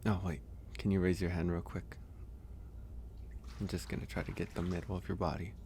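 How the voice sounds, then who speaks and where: Guy playing a soft-spoken teasing werewolf for asmr, really low and gravelly, bit of background noise. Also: little pitch movement, a little fast. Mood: caring. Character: gentle, teasing male werewolf caring for a guest. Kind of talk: intimate ASMR audio roleplay.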